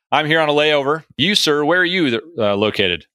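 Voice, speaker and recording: A man's voice: on the high side, animated, moderate pace, sharp tone, clear, resonant tone, dry recording, studio quality. A man cohosting an aviation podcast, chatty and upbeat, with a few jokes between topics. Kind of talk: podcast monologue and interview.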